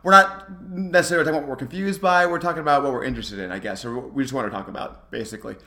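A man with a bright, forward voice talks very quickly at a middling pitch. He sounds like a podcast co-host in an informal, chatty sports discussion. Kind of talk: casual basketball podcast chat.

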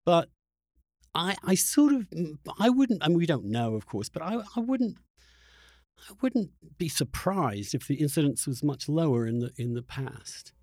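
A man's voice: on the high side, sing-song, a little mellow, dry recording. Man thinking aloud in a close, dry recording. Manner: searching, halting phrasing with repeated rewordings. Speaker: podcast host interviewing a guest. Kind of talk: conversational interview, thinking aloud.

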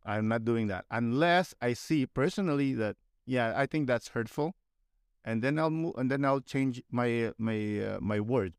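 A man's voice: mid-range voice, moderate pace, clean tone, no echo, clean studio sound. A young Filipino man with a Filipino accent, talking bluntly and animatedly on a casual podcast, swearing and mocking other people in put-on voices. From Philippines. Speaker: podcast guest.

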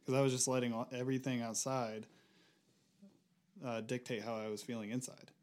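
A young man speaking as a podcast guest, reflective and hesitant, working through his thoughts with frequent pauses. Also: mid-range voice, little pitch movement, darkish tone. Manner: reflective, hesitant, many fillers and restarts. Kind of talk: casual conversation.

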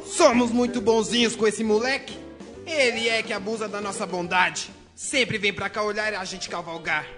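A man's voice: high-pitched, fairly even intonation, normal speed, music in the background, close to an everyday voice. A male performer playing a spoiled, cruel, haughty farmer's son who bosses others around in a radio drama. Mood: resentful. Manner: haughty, commanding. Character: spoiled, bossy, cruel rich farmer's son, schoolboy bully.

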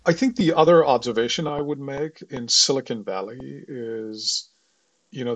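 A man with a husky, slightly rough voice at a middle pitch hosts an interview podcast. He sounds a little off the microphone in a dry space, talking conversationally. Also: dry recording, recorded from a distance, rough recording. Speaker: interview host.

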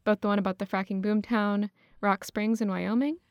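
A woman's voice: medium pitch, steady tone, moderate pace, no echo, studio quality. A woman co-hosting a podcast, discussing news in an earnest, explanatory, slightly tentative conversational way. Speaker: podcast co-host.

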